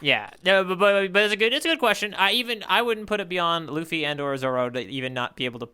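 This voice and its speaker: A man's voice: sits high, fast, bright, forceful tone, slight background noise. A man co-hosting a podcast in casual comedic chat, with a playful, mock-grand way of announcing things. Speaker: podcast co-host.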